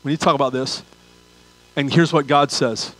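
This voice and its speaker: An older pastor delivers a sermon in a mid-pitched voice. He speaks conversationally to his listeners. He repeats key phrases and poses rhetorical questions to drive each point home. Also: steady tone, normal speed, a bit bright, soft background music, low-quality recording. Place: large church auditorium stage.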